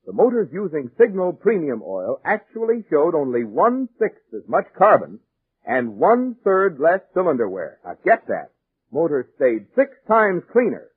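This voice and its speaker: Male radio announcer, 1940s ad pitch, emphatic salesmanship, lively swooping delivery, husky edge, narrow tinny vintage sound. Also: dry recording.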